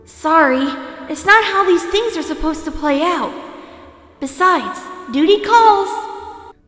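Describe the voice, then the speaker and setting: A woman voicing a gentle young male fox ghost in an audio drama, with ghostly reverb. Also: very high voice, lively intonation, slow, faint music behind, heavily acted. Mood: gentle, regretful. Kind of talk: amateur audio drama acting. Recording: echoing long reverb.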